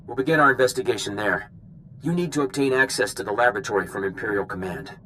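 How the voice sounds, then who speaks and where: An actor playing a dutiful young field agent in a video game, speaking crisply and professionally. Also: male voice, mid-range voice, quick pace, pushed and bright, a little hiss or hum, lightly acted, low-quality recording. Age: young adult. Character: eager, dutiful young male spy.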